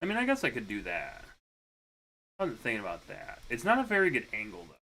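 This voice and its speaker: Rambling young male streamer, deep voice. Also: wildly swooping pitch, fast, sharp tone, poor audio. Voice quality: deeper voice. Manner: rambling, self-correcting, chatting to viewers. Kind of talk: casual stream chat while setting up.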